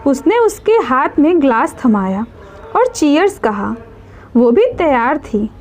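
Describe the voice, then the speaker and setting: A woman's voice: high-pitched, very animated, slow pace, darkish tone. A woman narrating a scripted dramatic story as a storyteller.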